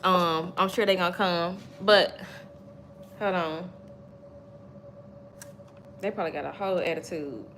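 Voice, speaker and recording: A woman's voice: fairly low pitch, fairly even intonation, a little slow, long pauses, noisy background. A woman in her thirties giving an emphatic, repetitive faith-based motivational talk to livestream viewers. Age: 30s. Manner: preachy, emphatic, repeats points, chats with viewers. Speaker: livestream host.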